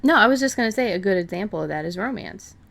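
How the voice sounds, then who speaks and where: A woman's voice: mid-range voice, animated, fast talker, gravelly, a bit bright. A woman hosting a podcast in an easy, conversational way. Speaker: podcast host. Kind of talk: scripted introduction and casual co-host chat.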